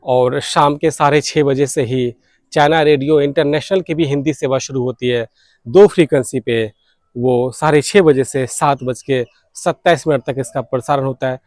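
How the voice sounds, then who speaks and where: A man's voice: mid-range voice, normal speed, a little mellow, dry recording, studio quality. A man narrating informally as a vlog host, explaining things step by step. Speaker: shortwave radio vlogger, host.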